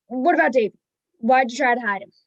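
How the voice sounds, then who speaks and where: A woman in an amateur play acting a stern small-town husband and father, gruff and reproachful. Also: a little high, fast, no echo, highs cut off. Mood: stern, scolding. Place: filmed on a video call.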